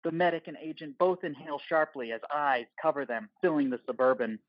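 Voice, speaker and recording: A man's voice: a little high, brisk, no room sound, dull audio. A man narrating a scripted audio drama in the present tense, with terse, clipped phrasing.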